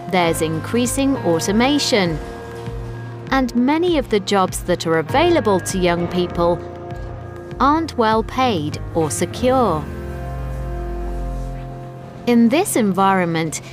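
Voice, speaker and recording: A woman reads scripted documentary narration for learners in a measured, clearly enunciated way. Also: mid-range voice. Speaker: narrator of an educational video report. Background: background music.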